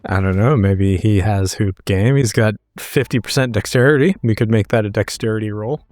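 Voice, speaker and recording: A man's voice: lowish voice, moderate pace, a little mellow, no room sound, faint music behind. Casual male tabletop player, dry close sound. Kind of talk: casual chat describing character's actions.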